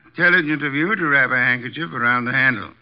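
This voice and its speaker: An actor playing an imperious, precise detective mastermind with pompous, formal diction in 1950s radio drama style. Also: male voice, medium pitch, fast talker, close to an everyday voice. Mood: brisk, commanding. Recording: dull old radio broadcast recording.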